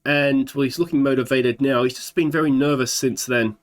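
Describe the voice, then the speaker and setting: A man's voice: medium pitch, steady tone, brisk. An Australian man in his thirties with a slight sore throat, chatting through a football management game at his desk mic, thinking aloud with fillers. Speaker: gaming YouTuber. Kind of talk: let's play commentary.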